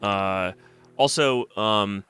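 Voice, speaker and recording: A man's voice: fairly low pitch, drawn-out words, pushed and bright. A man chatting casually while playing a game on stream, slightly stuffy from a cold and rambling as he thinks aloud. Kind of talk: casual let's-play commentary. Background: game music.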